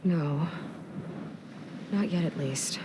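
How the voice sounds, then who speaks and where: Earnest young adventurous woman, background rumble. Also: extremely slow. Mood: practical, determined. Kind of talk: video game cutscene acting.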